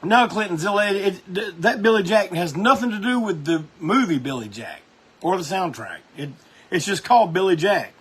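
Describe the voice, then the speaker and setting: A man's voice: sits high, expressive, moderate pace, fairly bright tone, husky. A man hosting a music show, talking casually and enthusiastically about records, rambling with lots of restarts.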